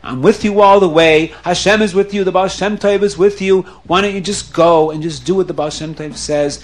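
A man voicing a steady wife who reassures her husband in a firm, encouraging way. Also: sits high, moderate pace, pitched above the performer's own voice. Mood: reassuring.

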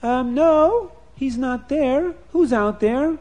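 A man doing a high, kind young wife. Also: lively intonation, slow, a little mellow, heavily acted. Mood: welcoming. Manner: eager, welcoming.

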